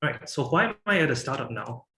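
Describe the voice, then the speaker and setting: A man's voice: mid-range voice, lively intonation, fast talker, sharp tone, echoey room. A man from Singapore in his thirties, giving a presentation and talking with many fillers and restarts. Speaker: guest speaker.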